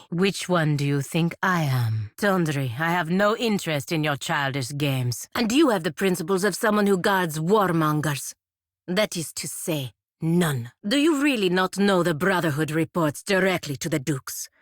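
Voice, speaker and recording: A proud, godlike warrior woman for a fantasy video game. Her voice sits low. She is blunt, impatient and cuttingly sardonic, recorded dry with no room sound. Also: expressive, normal speed, no echo. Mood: dry, curt. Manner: disdainful, sardonic, clipped and cutting. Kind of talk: video game character dialogue.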